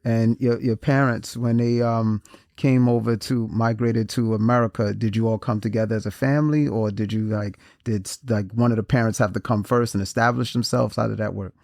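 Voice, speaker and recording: A man hosting a podcast interview in a casual, halting way, often restarting and repeating words. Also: mid-range voice, fairly even intonation, gravelly, a little mellow, no echo, clean studio sound. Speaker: podcast host.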